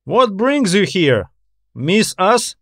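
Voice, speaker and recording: A man's voice: high voice, sing-song, takes it slowly, husky, no room sound, studio quality, pitched above the performer's own voice, full character voice. A man voicing a friendly, inquisitive male space station worker in audiobook dialogue, casual and questioning. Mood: casual, curious.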